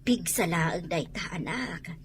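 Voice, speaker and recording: A woman's voice: lowish voice, animated, slow pace, a little hiss or hum. A woman with an Ilocano accent playing an insistent, fretful mother in a radio drama. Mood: reproachful. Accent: Filipino, Ilocano.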